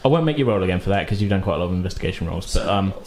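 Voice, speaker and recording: A man's voice: sits low, lively intonation, rapid-fire, darkish tone, a little hiss or hum. A man narrating a tabletop role-playing game as game master, conversational, loosely describing things as he goes. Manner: conversational, hedging descriptions with "kind of" and "sort of".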